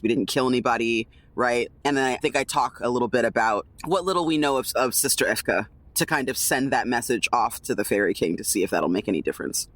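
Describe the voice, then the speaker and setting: A man's voice: medium pitch, fairly bright tone, no echo. Guy player in a fantasy rpg, medium voice, talking through his character's moves, dry sound. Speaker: tabletop game player. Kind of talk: improvised roleplay and describing character actions.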